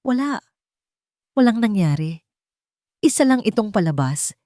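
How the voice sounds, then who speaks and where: A woman's voice: mid-range voice, very animated, unhurried, dark tone, no room sound, clean studio sound, voice pushed up. A woman voicing a desperate, defiant accused daughter-in-law in an audio melodrama, agitated and pleading. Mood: shaky triumph.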